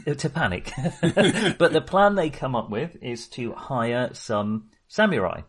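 A man's voice: mid-range voice, big pitch swings, measured pace, slightly rough. A man talking casually and informally about films as a podcast co-host, with a chatty, mildly sweary manner. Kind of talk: casual film discussion.

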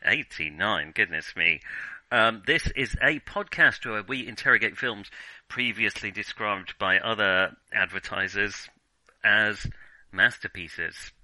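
Male podcast host, very bright and forceful tone, dry recording, hesitant and self-deprecating delivery, casual film talk. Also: on the low side, unhurried, no echo, rough recording.

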